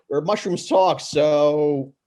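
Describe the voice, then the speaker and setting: A man taking part in an amateur script reading, speaking casually and in a halting, hesitant way. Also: a little high, warm tone. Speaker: participant reading parts in a script club.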